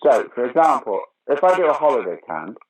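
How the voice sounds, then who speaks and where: A man's voice: mid-range voice, big pitch swings, a little fast, breathy edge, low-quality recording. An older man, answering interview questions conversationally in a wry, opinionated, emphatic way. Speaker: interview guest, actor, writer and director of pantomime.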